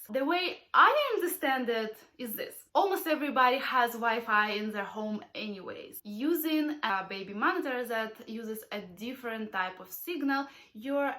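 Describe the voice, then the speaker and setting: A young woman talks with a high voice while she has a cold. She addresses her viewers in a friendly way, reviewing a product for other parents on her vlog. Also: fairly bright tone. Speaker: parenting channel host.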